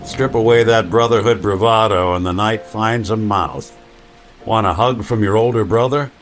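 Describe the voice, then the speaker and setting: An actor playing a weary, wry old android detective in a noir radio drama. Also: male voice, on the low side, faint music behind, lightly acted. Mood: teasing. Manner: wry, slow, world-weary.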